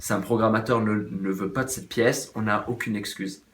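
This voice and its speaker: A young man, a theatre director, earnestly pitching his company's project in an interview. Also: fairly even intonation, normal speed. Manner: earnest, persuasive. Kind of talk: promotional interview. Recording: echoey room.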